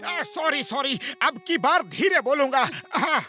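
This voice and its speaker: Actor doing a chubby cartoon shopkeeper, high, lively, sing-song, slow calls. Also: loud music behind the voice. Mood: cheerful. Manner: shouts long sing-song vendor calls. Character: chubby cheerful male shopkeeper, loud. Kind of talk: animated cartoon dub.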